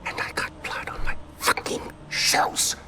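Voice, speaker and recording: A man's voice: unhurried, in a whisper, lightly acted. An actor playing a genteel but volatile middle-aged male tyrant in a video game, theatrical and mock-courteous, given to pedantry and sudden swearing. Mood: furious. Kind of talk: theatrical game cutscene monologue.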